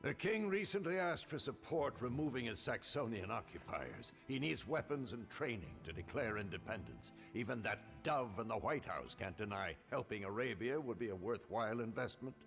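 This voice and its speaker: An actor playing a cynical, persuasive American political schemer in a game cutscene, with an American accent and measured speechmaking. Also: male voice, medium pitch, very animated, a bit bright, soft background music. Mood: calculating. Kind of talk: dramatic game cutscene acting.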